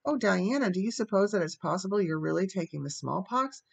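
A woman's voice: lowish voice, moderate pace, vocal fry. A woman voicing an earnest, dramatic young orphan girl in a storybook, imploring and effusive. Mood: earnest distress.

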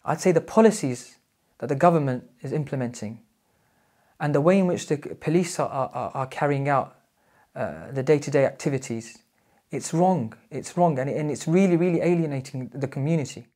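A man with a British accent giving measured personal testimony, repeating words as he goes. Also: fairly high pitch, mellow. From Britain. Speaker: anonymous interviewee.

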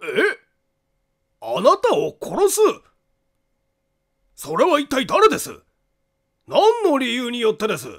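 A man's voice: very high-pitched, wildly swooping pitch, slow pace, pauses often, fairly bright tone, voice pushed up, exaggerated voice. A man voicing a doubtful, startled police inspector who questions probingly in a mystery audiobook. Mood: astonished, doubtful. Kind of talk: Japanese mystery audiobook acting.

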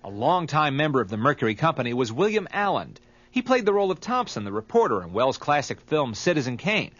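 A man's voice: on the high side, very animated, fairly quick, a bit bright, no room sound. A man reading scripted narration as the narrator of a documentary. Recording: slightly dull, lo-fi audio.

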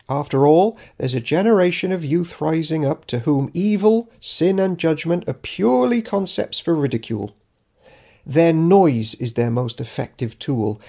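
A man's voice: a little high, vocal fry, mellow, full character voice. A man reading an audiobook as Judas Iscariot, a self-important, grudging disciple keeping a diary, in a dry, theatrical, pompous manner. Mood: grave, resentful. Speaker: author reading own audiobook. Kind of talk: dramatic audiobook narration of a diary.

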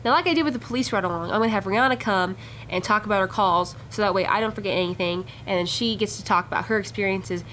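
A young woman hosting a casual vlog, chatty and playful with quick asides, filmed close on a wide-angle camera. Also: mid-range voice, fairly bright tone, slight background noise. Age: young adult. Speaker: vlog host. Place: office lounge indoors.

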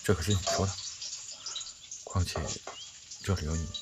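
A man's voice: deep voice, fairly even intonation, moderate pace, heavy background noise, natural voice. A man playing a headstrong, protective young man in an audio drama, speaking bluntly and insistently. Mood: casual, stubborn. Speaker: voice actor in a fan audio drama. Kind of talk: Chinese audio drama acting.

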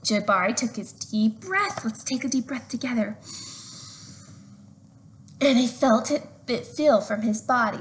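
A young woman doing an expressive read-aloud for children, with chatty questions to her listeners. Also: on the high side. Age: young adult. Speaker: storyteller reading to children. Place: open living room at home. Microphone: webcam at arm's length. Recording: slightly noisy home webcam recording.

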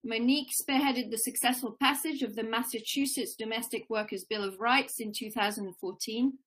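A woman's voice: on the high side, moderate pace. Woman reading formal introductions on a call. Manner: steady, formal, occasional fillers. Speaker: symposium co-organizer introducing speakers. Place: home room with plants and shelves. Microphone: laptop webcam on a video call.

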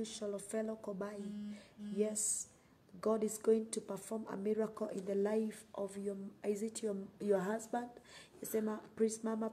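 Mellow Kenyan woman preaching. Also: mid-range voice, a little hiss or hum. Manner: emphatic, repeats phrases for effect. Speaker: online preacher. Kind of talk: sermon and prayer on a livestream.

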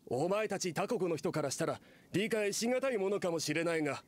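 A man's voice: high-pitched, lively intonation, brisk, slight background noise. A man playing a confident merchant and devout believer, explaining in an assured anime game style. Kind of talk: Japanese anime game acting.

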